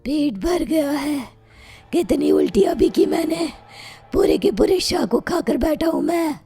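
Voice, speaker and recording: A woman voicing a possessed girl full of eerie spirits, taunting in an animated horror story with music behind. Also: high voice, unhurried. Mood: sinister taunting.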